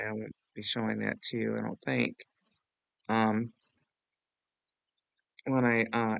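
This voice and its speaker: A man hosting a casual software walkthrough in an informal, rambling, self-deprecating way. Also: medium pitch, creaky, no echo. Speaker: tutorial host.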